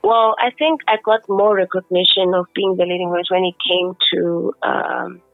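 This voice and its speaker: A woman's voice: lowish voice, steady tone, normal speed, vocal fry, no room sound, faint music behind. Woman with a level voice on a phone line. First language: Xhosa. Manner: thoughtful, self-assured, with frequent restarts. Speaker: singer being interviewed. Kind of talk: phone interview. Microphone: telephone.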